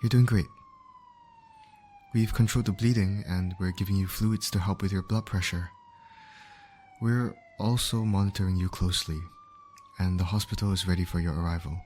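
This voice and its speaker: A man's voice: low voice, steady tone, moderate pace, pauses often, darkish tone, slight background noise, lightly acted. A man acting a caring field medic in an ASMR roleplay, speaking directly and reassuringly to an injured patient. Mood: soothing, concerned. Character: male field medic, calm, caring, urgent under pressure.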